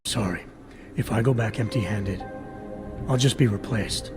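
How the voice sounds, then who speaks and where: An actor playing a stern, pragmatic agent in a video game cutscene, speaking in a blunt, matter-of-fact way. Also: male voice, lowish voice, measured pace, lightly acted. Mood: firm, persuasive. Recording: music behind the voice.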